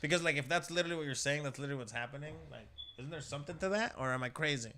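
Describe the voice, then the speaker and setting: Quick-talking slangy man. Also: fairly high pitch, bright, forceful tone, a little hiss or hum. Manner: slangy, questioning, jumps between half-finished thoughts. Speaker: podcast co-host. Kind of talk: casual podcast chat.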